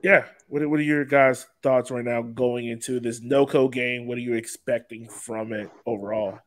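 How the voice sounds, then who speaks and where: A man's voice: mid-range voice, fairly even intonation, moderate pace. A man hosting a casual sports talk show, chatting in an informal, joking way. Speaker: podcast host.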